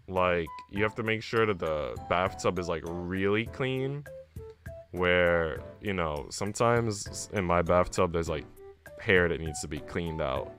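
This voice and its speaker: Low-voiced man streaming over music. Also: a little slow, a bit bright. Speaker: live streamer. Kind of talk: casual stream chat mixed with reading visual novel narration aloud. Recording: music playing behind the voice. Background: background music.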